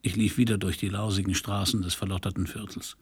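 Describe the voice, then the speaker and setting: Gravelly, world-weary private detective. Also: male voice, low-pitched, little pitch movement, fast talker. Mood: brooding, sardonic. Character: world-weary, brooding male private detective, hard-drinking and sardonic. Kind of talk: noir radio drama, narration and dialogue.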